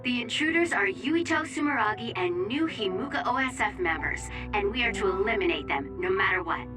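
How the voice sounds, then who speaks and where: A woman playing a dutiful, concerned young mission operator in an anime-style game dub, reporting clearly and formally. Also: medium pitch, lively intonation, a little fast, natural voice. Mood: urgent report. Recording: loud music behind.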